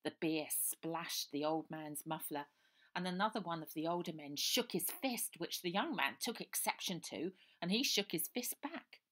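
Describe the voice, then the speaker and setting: An older woman reading her own children's novel aloud in an expressive, lively way, recorded on a webcam in a small room. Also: fairly low pitch, moderate pace.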